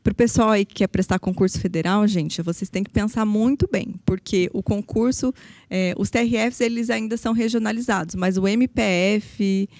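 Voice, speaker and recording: A woman's voice: mid-range voice, fairly even intonation, normal speed, a little mellow, no room sound. A woman from Brazil's Paraná region, a public defender, explaining her work earnestly in a podcast interview, with frequent hesitations. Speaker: federal public defender, guest.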